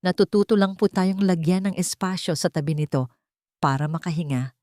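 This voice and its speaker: A woman voicing a shy, grieving young housemaid who speaks softly and politely. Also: on the low side, a little fast, dry recording, studio quality, close to an everyday voice. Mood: timid. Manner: soft, polite, timid.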